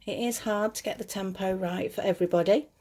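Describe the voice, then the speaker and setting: A woman hosts a crochet tutorial in a soft-edged, mellow voice that has a slight gruffness to it. She chats casually through each step at a middling pitch, correcting herself and reassuring beginners. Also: fairly even intonation, fairly quick. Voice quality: slightly gruff, lower than her usual voice. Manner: chatty, self-correcting, reassuring toward beginners. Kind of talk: casual step-by-step craft instruction.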